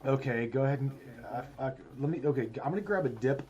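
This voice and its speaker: A man's voice: mid-range voice, expressive, fast talker, a little mellow, muffled recording, a little hiss or hum. A man hosting a casual podcast chat, laid-back, stammering and swearing casually. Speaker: host.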